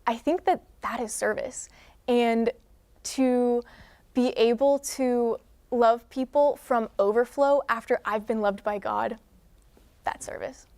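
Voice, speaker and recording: A woman's voice: a little high, fairly even intonation, a little mellow. A young woman speaking earnestly and reflectively as a guest in a faith interview. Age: young adult. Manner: earnest, measured, devotional. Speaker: guest, student leader.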